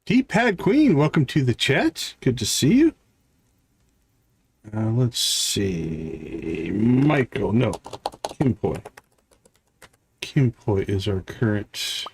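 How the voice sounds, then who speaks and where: A man's voice: medium pitch, huge pitch swings, extremely slow, creaky, pauses often, husky. A man giving casual live gameplay commentary, thinking aloud and chatting with viewers as he plays. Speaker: live streamer playing a farming game.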